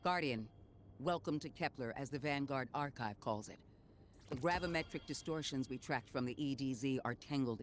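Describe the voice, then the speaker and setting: A calm, analytical robot companion voiced by a man, clipped and exact in his phrasing as he guides the player through a game. His pitch sits in an ordinary middle range. Faint ambient game sound hums behind him. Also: slight background noise, natural voice. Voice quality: measured, clipped and precise. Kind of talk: video game voice acting.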